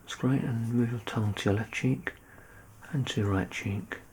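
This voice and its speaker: A man in an ASMR medical roleplay, playing a doctor who gives gentle, reassuring, soft instructions. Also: medium pitch, steady tone, normal speed, slight background noise, rough recording.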